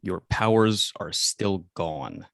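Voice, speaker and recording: A man playing a stoic, protective alien robot guardian in a table read, speaking formally and in measured phrases. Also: low voice, huge pitch swings, gravelly, a bit bright, dry recording, studio quality, exaggerated voice. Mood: grave.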